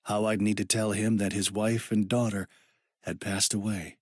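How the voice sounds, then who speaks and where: An actor playing a troubled, grieving brother in a video game, speaking in an earnest, emphatic way. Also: male voice, lowish voice, flat delivery, normal speed, dry recording, clean studio sound, natural voice. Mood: somber, reflective. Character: troubled adult man, grieving brother, agitated and insistent. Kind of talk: dramatic game voice acting with narration.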